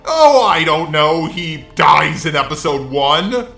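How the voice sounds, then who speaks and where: Synthetic robot man, video essay host, sarcastic, sweary, bright forceful tone, echoey, light music behind. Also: a little high, animated, slow pace, vocal fry. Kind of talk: scripted opinionated video commentary.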